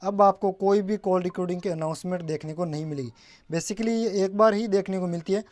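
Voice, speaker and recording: A man's voice: high voice, brisk, a little mellow. Man giving a casual phone tutorial. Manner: repeatedly addresses viewers as guys, mixing in English terms. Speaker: tech tutorial presenter.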